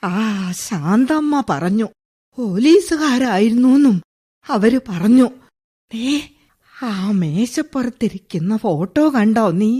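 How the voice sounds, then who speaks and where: A weary old mother confined to her bed in a radio drama. Her voice is husky and breathy, slightly high, and she drags out her words slowly with heavy resignation and bitterness. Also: a little mellow, heavily acted. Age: older. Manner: resigned, tearful at times.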